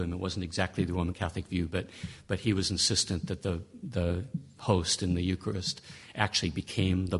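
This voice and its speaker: A man with a fairly low, husky voice teaches a class on interpreting scripture. He talks in a conversational but emphatic way, pressing his points with rhetorical questions and often restarting a phrase mid-thought. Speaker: Bible teacher.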